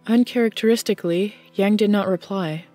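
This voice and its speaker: A woman's voice: medium pitch, fairly quick, dark tone, faint music behind. A woman reading scripted fiction as the narrator of an audiobook.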